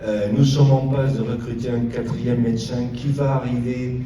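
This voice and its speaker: A man giving an informal public progress report as a local official, speaking haltingly with long hesitant pauses. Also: mid-range voice, level tone, dark tone. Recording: roomy echo, distant mic, noisy.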